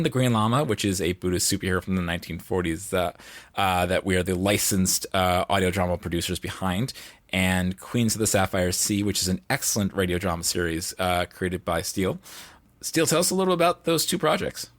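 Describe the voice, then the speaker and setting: Low, dry-sounding man chatting casually. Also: brisk, fairly bright tone, no room sound. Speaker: podcast guest, audio drama producer. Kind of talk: casual chat.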